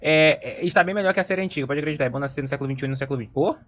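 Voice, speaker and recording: A Brazilian man with a highish voice talks at a very rapid clip. He gives slangy, opinionated reactions and circles back over his own points. A faint noise sits behind him. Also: fairly bright tone. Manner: slangy, opinionated, repeats himself. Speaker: reaction video host. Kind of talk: casual reaction commentary.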